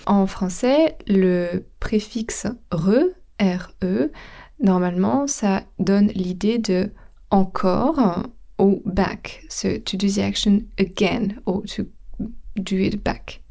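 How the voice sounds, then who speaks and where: Casting: French woman, podcast language teacher, unhurried and patient, warm mellow tone. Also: mid-range voice, gravelly. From France. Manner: slow, careful explanation mixing French and English.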